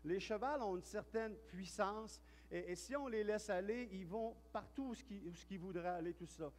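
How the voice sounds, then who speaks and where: A pastor with a Quebec French accent preaching a chatty sermon, telling stories and often restarting and repeating words. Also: male voice, high voice, moderate pace, a little mellow. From Quebec, Canada. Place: church hall. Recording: long hall reverb.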